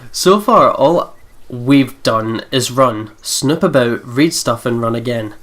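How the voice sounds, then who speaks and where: A man's voice: medium pitch, animated, slow pace, fairly bright tone. A man reading a visual novel aloud as a let's player, with sweary, joking asides. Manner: sweary, jokey.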